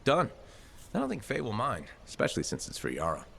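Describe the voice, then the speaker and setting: An actor playing a confident, wisecracking freelancer hero in a video game, wry and assured. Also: male voice, fairly low pitch, huge pitch swings, brisk, vocal fry, fairly bright tone, husky, full character voice. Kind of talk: video game protagonist dialogue.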